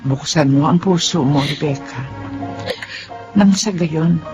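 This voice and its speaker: An actress playing a wise, stern Filipino mother in a melodrama, admonishing in an earnest, measured way. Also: deep voice, over music. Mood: gently admonishing. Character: stern, wise Filipino mother counselling her grown child.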